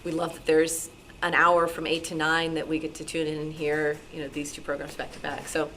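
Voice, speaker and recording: Low-voiced woman explaining, noisy recording. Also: monotone, brisk, fairly bright tone. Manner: informal, hedging, conversational. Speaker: radio programmer on a club panel. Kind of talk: explanatory talk to an audience. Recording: noisy live meeting room recording.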